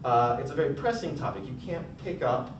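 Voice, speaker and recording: A man's voice: expressive, fairly quick. A young American man giving a recorded academic conference talk in a casual, chatty way, full of fillers and restarts. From United States. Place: college room. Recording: distant, echoey, dull, noisy rumble.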